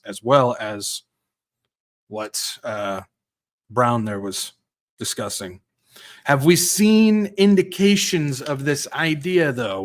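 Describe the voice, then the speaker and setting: A man's voice: mid-range voice, a bit bright. A man hosting a commentary show, musing aloud with rhetorical questions and repeating points for emphasis. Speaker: host. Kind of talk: conspiracy commentary over played clips.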